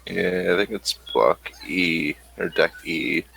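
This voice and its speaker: A man's voice: sits low, fairly even intonation, slow pace, vocal fry, fairly bright tone, breathy edge, faint music behind. A man running a tabletop game as game master, thinking aloud as he looks up details for the players. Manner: thinking aloud while looking things up.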